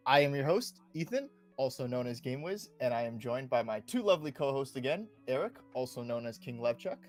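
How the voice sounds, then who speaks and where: A man's voice: medium pitch, lively intonation, a little fast. Upbeat young man hosting, music behind. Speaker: podcast host. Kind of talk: casual gaming talk show chat. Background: background music.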